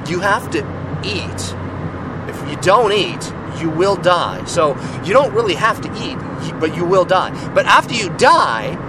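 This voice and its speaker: A raspy, hoarse man is getting over a cold while he hosts his podcast. His voice sits a bit higher than most men's. He jokes and rambles loosely, with steady noise running underneath. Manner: joking, loose, self-deprecating. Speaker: podcast host. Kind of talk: casual rambling monologue.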